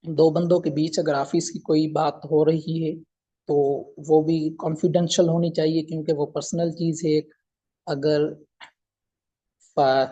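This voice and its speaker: A man lectures in a fairly high but very even voice. The tone is husky and mellow. He explains informally and haltingly, repeating points and switching to English for technical terms. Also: muffled recording. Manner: mixes English legal terms into speech. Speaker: law lecturer.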